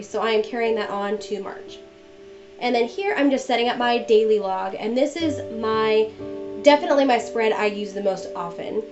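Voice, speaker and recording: A young woman narrating a journal-planning voice-over in a chatty, friendly way while she gets over a cold. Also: fairly high pitch. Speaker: bullet journal vlogger. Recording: dull lo-fi voice-over with music behind.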